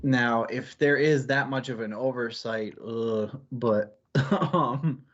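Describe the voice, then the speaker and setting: A man's voice: mid-range voice, slow, a bit bright, muffled recording. A man co-hosting a casual book discussion, talking in a reflective, analytical and wordy way with digressions. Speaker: co-host of a book club stream.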